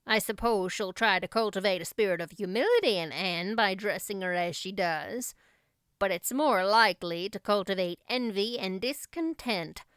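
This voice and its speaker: An opinionated older country gossip, played by a woman reading aloud. She is folksy, emphatic and plain-spoken, with a clear voice recorded close and dry, as in a storybook. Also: medium pitch, lively intonation, moderate pace, fairly bright tone, no echo, natural voice. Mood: opinionated, musing. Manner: emphatic, plain-spoken, rustic turns of phrase.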